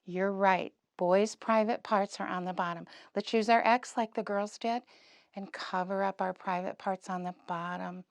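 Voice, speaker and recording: A woman's voice: mid-range voice, fairly even intonation, moderate pace, gravelly, dry recording. A woman presenting an interactive lesson to young children, giving simple instructions, questions and encouragement. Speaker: puppet show presenter for preschoolers.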